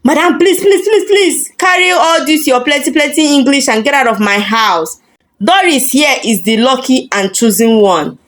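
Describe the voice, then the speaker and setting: A woman's voice: sits high, expressive, normal speed, a bit bright. A woman voicing an arrogant, chauvinistic boyfriend in a story, pompous and dismissive. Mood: irritated, mocking. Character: arrogant, chauvinistic boyfriend demanding a submissive wife.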